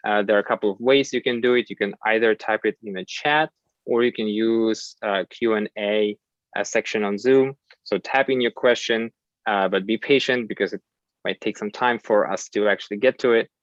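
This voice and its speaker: A man hosts a webinar in a relaxed way, with plenty of uhs. The sound is dull and dry, like a lo-fi video call. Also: on the low side, moderate pace, no room sound. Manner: relaxed, uh-filled. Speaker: webinar host. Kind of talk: webinar opening announcements.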